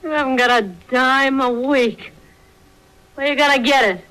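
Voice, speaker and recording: An actress playing a brassy, scheming gangster's wife in a 1940s radio crime drama, with an American accent and slangy, sarcastic speech. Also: female voice, high voice, fairly even intonation, moderate pace, fairly bright tone, clean tone, low-quality recording. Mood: mocking. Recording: vintage 1940s radio broadcast.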